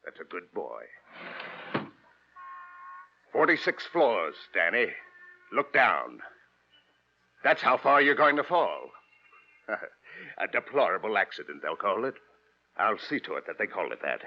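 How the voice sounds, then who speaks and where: An actor playing a smug, sardonic, murderous crooked lawyer in a 1940s radio crime drama. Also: male voice, lowish voice, big pitch swings, slow pace, vocal fry, long pauses, full character voice. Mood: gloating menace. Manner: sardonic, sneering, mock-polite. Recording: dull vintage radio recording.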